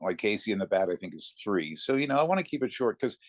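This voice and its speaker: A man talking conversationally as an interview guest, telling anecdotes in a reflective way with thoughtful pauses. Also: lowish voice, sing-song, no echo, studio quality. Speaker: interview guest, narrator of classic readings.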